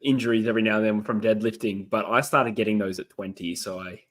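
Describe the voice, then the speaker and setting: A man in his thirties co-hosting a football watchalong in casual chat, relaxed and quick to laugh. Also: on the low side. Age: 30s. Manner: relaxed, laughs easily, thinks aloud. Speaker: co-host of a live football watchalong.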